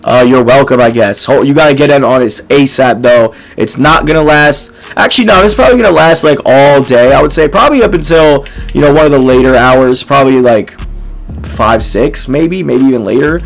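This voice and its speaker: A young man in his twenties with a hoarse, nearly gone voice, casually commenting on a game to his viewers in a small dark room. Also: mid-range voice, expressive, brisk, soft background music, low-quality recording. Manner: laid-back, filler-heavy, addresses viewers as boys. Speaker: gaming YouTuber.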